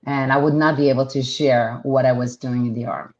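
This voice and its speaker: Low-voiced Israeli-born woman, muffled audio. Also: fairly even intonation, brisk, darkish tone. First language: Hebrew. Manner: chatty, many fillers and restarts. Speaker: realtor guest. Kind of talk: casual interview talk. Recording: dull lo-fi sound.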